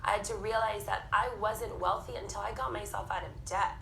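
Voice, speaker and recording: A woman in her thirties telling her personal story candidly on a theatre stage, animated and frank. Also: mid-range voice, fairly quick, gravelly, echoey room, a little hiss or hum. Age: 30s. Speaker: panel speaker.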